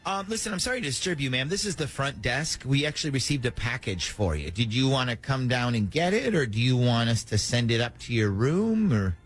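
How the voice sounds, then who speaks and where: A man hosting a morning radio show, talking in an animated, conversational way during a prank call segment. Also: medium pitch, fairly quick, hardly any pauses, faint music behind.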